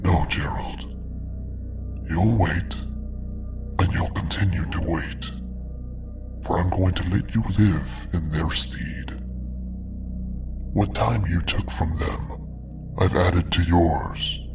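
A man voicing a grim, gloating male Reaper spirit who whispers slowly and coldly, rattling and taunting. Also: low-pitched, distant mic, over music, voice pushed down. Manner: slow, cold, taunting.

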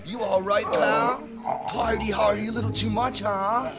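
An actor playing a cheery, slangy young partygoer in a science fiction audio drama, talking loosely. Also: male voice, high-pitched, fairly even intonation, a little slow, loud music behind the voice. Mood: amused, friendly.

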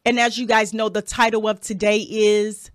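A woman's voice: medium pitch, level tone, moderate pace, no room sound, studio quality. A woman in her thirties hosting a solo podcast, giving blunt, profane advice and often tacking "okay?" onto her points. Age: 30s. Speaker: podcast host. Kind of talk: casual advice monologue.

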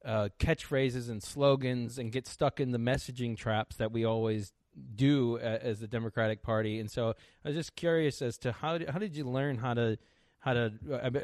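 Male interview host, mid pitch, halting and stammering delivery, casual tone, dry recording. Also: a little mellow, dry recording. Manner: halting, stammering, repeats words as he builds questions.

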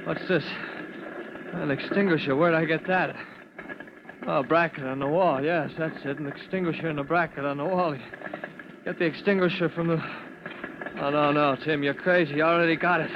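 An actor playing a cocky firefighter muddled by smoke in an old radio drama, boastful and muttering. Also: male voice, a little high, fairly even intonation, moderate pace, close to an everyday voice. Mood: delirious, rambling. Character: dazed, cocky young male firefighter, delirious from smoke. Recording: muffled, noisy old radio recording.

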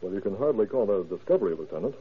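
An actor playing an evasive, indignant gentleman under suspicion in a classic radio drama. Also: lowish voice, expressive, racing, lightly acted. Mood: cool, evasive. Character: smooth-talking, evasive, indignant gentleman suspect. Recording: muffled, tinny old radio broadcast.

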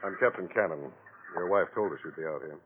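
A man acts a shrewd police captain from 1940s radio drama. He sounds matter-of-fact and deceptively casual as he probes, in a fairly low voice. The recording is old and tinny. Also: fast talker, slight background noise, close to an everyday voice. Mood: businesslike. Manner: businesslike, probing, matter-of-fact.

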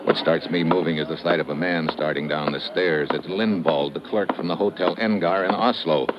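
Male actor playing a tough investigator, very low voice, clipped dry narration, noisy muffled vintage radio recording. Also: steady tone, moderate pace, lightly acted. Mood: terse narration. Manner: clipped, dry, hard-boiled. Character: tough wisecracking male American investigator narrating his case. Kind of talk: 1950s radio drama acting, hard-boiled narration.

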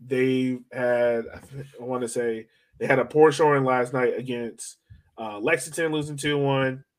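A man with a mid-pitched voice hosts a sports podcast. He talks in a casual, slangy, conversational way, working through his thoughts as he goes. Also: steady tone, normal speed. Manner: conversational, slangy, thinking aloud. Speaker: soccer podcast host.

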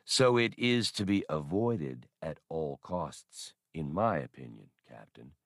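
A male narrator puts on a deferential old labor droid who drawls each word at an unhurried crawl, calm and serene. The voice sits lower and quieter than the reader's own, with a close, dry audiobook sound. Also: no room sound, full character voice. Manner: slow, leisurely drawl; formal, deferential. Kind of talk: audiobook character reading.

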